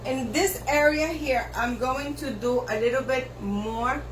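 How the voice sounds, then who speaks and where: A woman hairstylist whose first language is Spanish, giving slow step-by-step instructions for a haircut, with lots of repetition. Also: high-pitched, a little hiss or hum. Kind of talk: haircut tutorial narration. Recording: echoey room with faint ambience.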